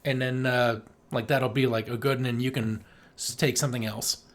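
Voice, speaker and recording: A man's voice: mid-range voice, steady tone, normal speed. A man co-hosting a podcast, chatting casually about film in a rambling, jokey, sweary way. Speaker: podcast co-host.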